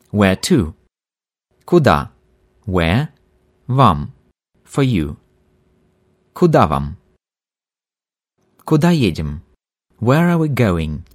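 A male language teacher reads a scripted lesson at a very unhurried pace. His pitch rises and falls in a lively way. He repeats each phrase clearly for learners.

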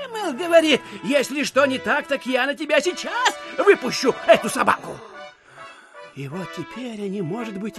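A man playing an excitable, suspicious, gossiping official in an audio drama. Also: very high-pitched, vocal fry, full character voice. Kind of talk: theatrical audiobook radio play.

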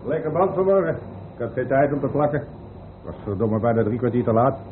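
An actor playing a chummy, grumbling workmate in a radio play, chatty, informal and a bit coarse. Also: male voice, mid-range voice, a little fast, lightly acted. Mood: chummy, complaining. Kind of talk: naturalistic radio play dialogue. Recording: muffled, faintly noisy old radio recording.

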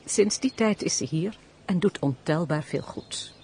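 Worldly, teasing countess: expressive swooping intonation, formal period speech, faintly hissy radio play. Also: female voice, lowish voice, a little slow. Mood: earnest, storytelling. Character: teasing, sensible countess, elder-sisterly and worldly. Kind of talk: Dutch radio play, period stage dialogue.

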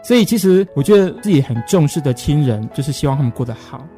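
A man's voice: on the high side, animated, fast, warm tone, faint music behind. A man with a Taiwanese Mandarin accent hosting a conversational radio interview in an effusive, encouraging manner. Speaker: interview host.

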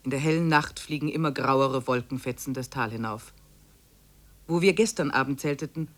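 An actor playing a grave, weary expedition diarist, narrating dramatically in a 1950s German radio drama. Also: male voice, medium pitch, a bit bright, close to an everyday voice.

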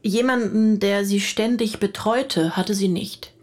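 A woman playing a calm, probing investigator in a naturalistic radio drama, questioning politely in a measured, matter-of-fact way. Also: mid-range voice, close to an everyday voice. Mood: inquisitive, calm. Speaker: actor.

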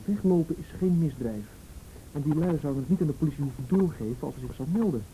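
A woman's voice: animated, fast talker, mellow. An actress playing a worried, wry woman in a radio drama, speaking in a conversational way. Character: worried, wry woman sheltering a runaway friend. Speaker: actor in a radio play. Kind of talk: Dutch radio drama acting. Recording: rumbly, noisy old radio recording.

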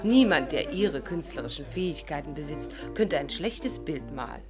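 An actress playing a self-assured, meddling amateur sleuth with a teasing, coaxing manner in a 1950s German radio play. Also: female voice, low voice, expressive, moderate pace, music in the background. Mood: persuasive.

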